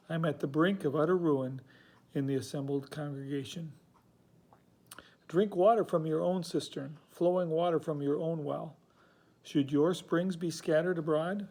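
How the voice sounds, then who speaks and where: Mellow-voiced man reading scripture. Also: a little high. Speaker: scripture reader. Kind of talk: solemn scripture reading, measured recitation.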